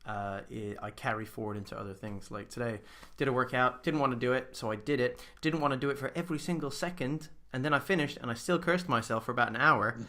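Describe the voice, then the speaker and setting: A man's voice: medium pitch, fast, bright, forceful tone. A man in his thirties hosting an interview show, chatting casually and giving upbeat, motivational talk aimed at viewers. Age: 30s. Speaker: podcast host.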